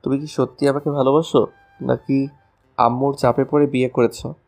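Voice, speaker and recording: Man playing a deep-voiced, teasing young husband, music behind. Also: level tone, normal speed, creaky, a little mellow. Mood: earnest, affectionate. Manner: teasing, affectionate. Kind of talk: romantic audio story dialogue.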